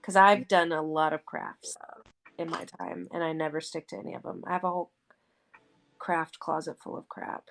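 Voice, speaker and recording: A woman's voice: fairly low pitch, little pitch movement, normal speed, creaky. A woman in her late twenties talking casually, rambling and filling her sentences with "like" and "you know". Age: 20s. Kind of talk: casual chat.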